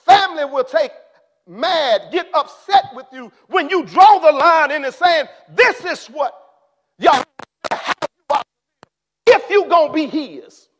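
A man preaching a sermon in an impassioned way, rising to shouts and then dropping low. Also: lively intonation, unhurried.

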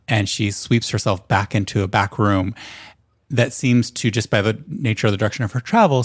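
A man's voice: on the low side, vocal fry, dry recording, studio quality. A man running a tabletop game as game master, narrating in lush, lingering sensory detail.

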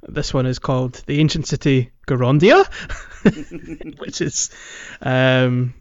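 A man hosting a podcast, chatting casually about a video game in a hesitant, halting way with repeated words. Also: medium pitch, muffled recording. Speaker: podcast host.

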